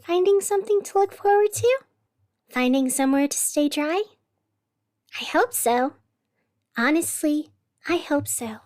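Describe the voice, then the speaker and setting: Actress as high-voiced, deliberate woman radio host. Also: expressive, darkish tone, dry recording, clean studio sound. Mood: strained cheer. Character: anxious woman radio host insisting everything is fine. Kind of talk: scripted fictional community radio broadcast.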